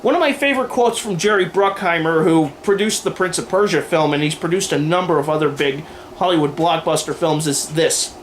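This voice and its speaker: High-pitched man giving emphatic opinions. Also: brisk, slight background noise. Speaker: video host and aspiring filmmaker. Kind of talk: opinion commentary to camera, semi-scripted list.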